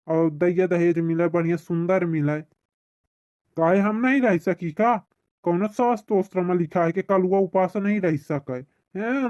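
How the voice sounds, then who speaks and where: A man's voice: high-pitched, darkish tone, dry recording, studio quality. A man with a Bagheli accent voicing an indignant, self-important young village bachelor who argues in a comic cartoon. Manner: argumentative, pleading, indignant.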